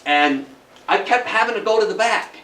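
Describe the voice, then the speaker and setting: A man giving a live history talk to a room as an animated, folksy storyteller, with emphatic repetition, rhetorical questions and asides. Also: sits high, normal speed. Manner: folksy, emphatic, repeats phrases for effect. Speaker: history lecturer. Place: indoor room, talk before an audience. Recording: roomy, distant mic, faint background noise.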